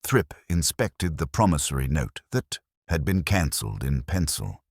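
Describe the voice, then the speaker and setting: A British man reading literary mystery narration in a measured, formal, dryly wry manner. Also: very deep voice, very animated, slightly rough, no room sound, clean studio sound. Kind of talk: literary audiobook-style scripted narration of a mystery story.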